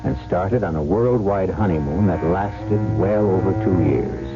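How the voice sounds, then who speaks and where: A man's voice: low-pitched, a little slow. A man narrating a scripted radio drama in a storytelling style. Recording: dull old radio broadcast, loud music.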